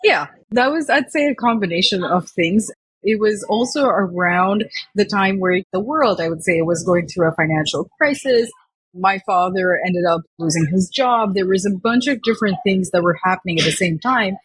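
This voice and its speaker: Female guest, 20s, from Dubai; husky, breathy voice; chatty storyteller; dry close recording. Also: mid-range voice, normal speed, a bit bright, no echo, poor audio. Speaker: guest, company founder. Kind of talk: podcast interview.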